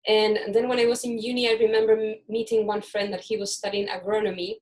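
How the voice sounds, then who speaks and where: A woman's voice: medium pitch, little pitch movement, fast, darkish tone, low-quality recording. Brief: woman from Chile, host of a casual video-call panel, chatty, mid-range voice, restarts sentences. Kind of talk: casual online panel chat.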